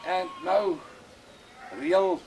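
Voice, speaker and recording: An older man with an Afrikaans accent, whose first language is Afrikaans, talking plainly and repetitively in halting English as a goat breeder outdoors on a farm. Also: sits high, fairly even intonation, vocal fry, darkish tone, a little hiss or hum, poor audio. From South Africa. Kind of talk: casual explanation on a farm.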